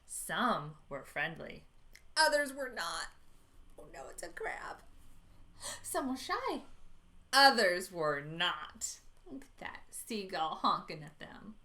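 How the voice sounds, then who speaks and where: A woman reading a picture book aloud to young children at library storytime, expressive, with dramatic asides. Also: lowish voice, slow pace.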